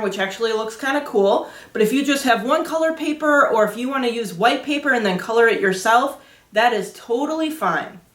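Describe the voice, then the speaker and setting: High-voiced woman in an echoey room. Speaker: Sunday school storytime host and teacher. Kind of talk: simple explanatory talk for children. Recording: roomy echo, distant microphone.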